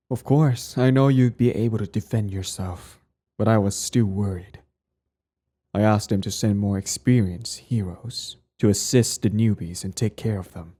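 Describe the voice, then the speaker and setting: A man playing a cold, sardonic villain with secret tenderness in an intimate ASMR roleplay, his voice low, husky and breathy. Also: dark tone. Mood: bitter, worried. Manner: slow deliberate delivery, sardonic, condescendingly affectionate. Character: cold, mocking male villain, protective ex-hero, secretly tender.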